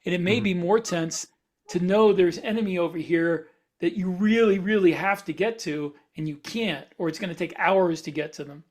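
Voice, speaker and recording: A man's voice: high voice, moderate pace, few pauses, darkish tone. A man speaking as an author on a casual podcast panel, explaining things while searching for the right words.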